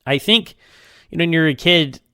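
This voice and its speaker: A man giving casual, unscripted recorded feedback as a listener, rambling and halting, often repeating words as he goes. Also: a little high, sharp tone, no echo, studio quality. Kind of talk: casual, unscripted episode review.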